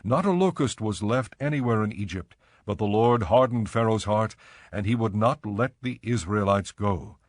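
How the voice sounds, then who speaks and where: A man's voice: lowish voice, moderate pace, no echo, studio quality. A man narrating scripture as the scripted narrator of a dramatized audio Bible.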